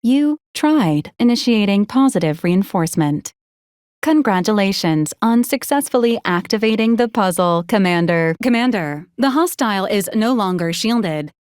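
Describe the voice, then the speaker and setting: An actress plays an unflappable female robot assistant from a video game, with a mid-pitched voice. She is formal and courteous, delivering clipped, deadpan advisories in a very dry, close recording. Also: normal speed, clean tone, no room sound, close to an everyday voice. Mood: calm, deadpan. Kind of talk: scripted video game character lines.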